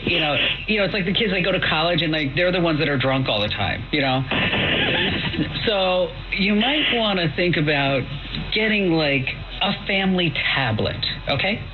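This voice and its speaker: Male call-in tech host, fairly high voice, chatty and informal, heard through noisy, muffled radio. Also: lively intonation, normal speed. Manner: informal, exclamatory, peppered with "you know". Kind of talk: casual, chatty radio advice. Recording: noisy, dull shortwave radio.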